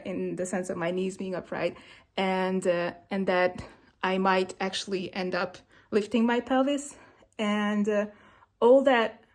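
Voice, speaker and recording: Woman, Hungarian native speaker, unhurried, hesitant, reflective monologue. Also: medium pitch. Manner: hesitant, many fillers, gentle and searching. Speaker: vlogger sharing movement practice.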